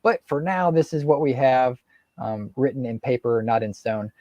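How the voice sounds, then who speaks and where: A man's voice: mid-range voice, animated, normal speed, a little mellow, no room sound, highs cut off. A man hosting a video call, easygoing as he walks through a schedule. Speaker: host. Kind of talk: casual video call chat.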